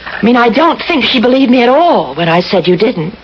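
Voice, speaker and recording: A woman's voice: on the high side, big pitch swings, fairly quick. An actress playing a timid, honest female social worker in a radio mystery drama, hesitant, apologetic and earnest. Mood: worried. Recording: dull, noisy old radio broadcast.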